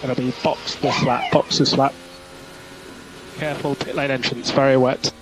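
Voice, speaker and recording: A man's voice: mid-range voice, flat delivery, normal speed, faint music behind. A man working as a race engineer, giving clipped instructions over team radio and repeating the key calls. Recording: noisy team radio transmission.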